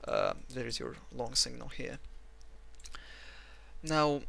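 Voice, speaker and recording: A man with a Russian accent walks through market charts at his desk, rambling and self-mocking. His voice is gravelly and bright, recorded on a desk mic. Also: medium pitch, takes it slowly. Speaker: trading analyst. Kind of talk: screen-recorded chart analysis.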